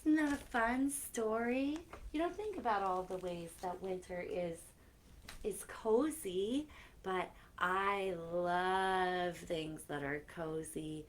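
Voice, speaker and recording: A woman's voice: on the low side, slow. A woman hosting a children's library story time, reading picture books aloud expressively with chatty asides, on a noisy live-stream recording.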